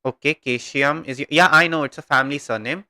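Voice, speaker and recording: A man's voice: on the high side, brisk, sharp tone, no echo. A man with an Indian accent chatting casually with viewers on a gaming livestream, informal and hesitant, often repeating himself.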